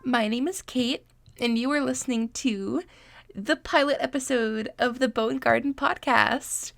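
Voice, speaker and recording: Congested young woman, gravelly podcast chat. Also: fairly high pitch, measured pace, fairly bright tone. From Massachusetts, USA. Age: 20s. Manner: chatty, self-deprecating, swears freely. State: congested, sniffly from allergies. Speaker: podcast host. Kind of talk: casual solo podcast chat.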